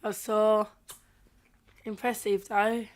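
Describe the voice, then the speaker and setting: Slow, flat-toned woman, slightly sick. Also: mid-range voice. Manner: brief, agreeing interjections. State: mildly sick. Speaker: podcast co-host. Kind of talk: casual chat.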